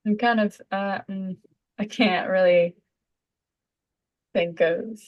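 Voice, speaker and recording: A woman speaking as a voice acting student in a casual lesson, giving brief, halting, tentative answers. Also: mid-range voice, steady tone, lo-fi sound.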